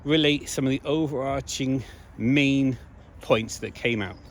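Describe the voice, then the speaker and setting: A slightly hoarse man speaking in a casual, emphatic monologue to viewers, repeating key phrases for stress. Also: medium pitch, unhurried, a little hiss or hum. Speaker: video blogger.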